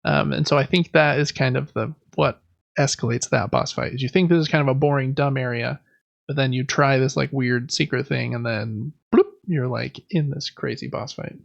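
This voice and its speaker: A man's voice: mid-range voice, normal speed, gravelly, dry recording, highs cut off. A man co-hosting a gaming podcast, chatting in an informal, meandering conversational way. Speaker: podcast co-host. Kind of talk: casual chat about video games.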